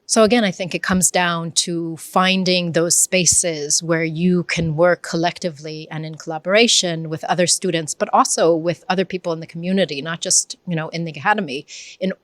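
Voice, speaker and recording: A woman's voice: on the low side, fairly even intonation, moderate pace. A woman professor in her forties with an American accent, answering interview questions thoughtfully in a lecture hall, speaking into a handheld mic. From Israel and United States. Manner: thoughtful, earnest, with restarts. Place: large university lecture hall, indoors. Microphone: handheld mic held near mouth.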